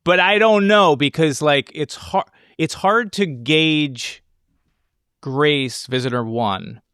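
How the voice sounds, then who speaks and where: A man co-hosting a podcast, talking through a film in a casual, halting, excitable way. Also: a little high, clear, resonant tone, dry recording, clean studio sound. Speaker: podcast co-host.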